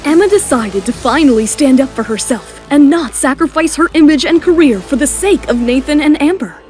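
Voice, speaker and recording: A woman's voice: sits high, expressive, brisk, a little mellow. A woman reading dramatic scripted narration as the narrator of an audio drama. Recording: music behind the voice.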